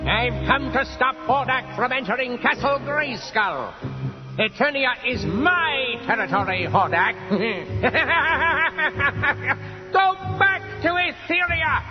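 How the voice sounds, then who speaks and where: A man voicing a shrill, possessive evil skeleton sorcerer in a 1980s cartoon readalong. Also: expressive, slow pace, highs cut off, exaggerated voice. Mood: commanding, scornful. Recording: band-limited old readalong tape with music.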